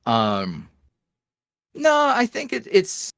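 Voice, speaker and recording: A man talking casually as a podcast guest, in a rambling, animated, self-deprecating way. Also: high-pitched, extremely slow, creaky, no room sound, clean studio sound. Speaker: podcast guest, ASMR roleplay voice creator. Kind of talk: casual interview chat.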